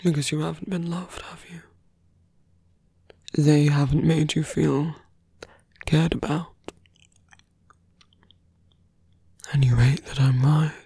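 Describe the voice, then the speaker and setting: A seductive fantasy villain, acted by a man, murmurs in a breathy, very husky whisper. He is brooding and coaxing, speaking very slowly with lingering pauses, as in an intimate ASMR roleplay. The voice is mellow and close. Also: a little high, steady tone, no room sound, heavily acted. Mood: knowing, soft.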